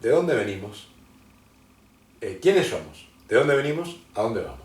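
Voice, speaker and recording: A man's voice: medium pitch, sing-song, measured pace. A man from Argentina, a guest in an interview who explains his ideas at length and often hesitates and restarts his sentences. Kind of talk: conversational interview, lecturing. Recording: roomy sound from a distant mic.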